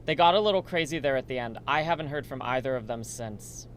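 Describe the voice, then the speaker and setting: A man's voice: mid-range voice, fairly quick, slight background noise, lightly acted. A man playing a worried, earnest research assistant in a radio drama. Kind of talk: amateur radio drama dialogue.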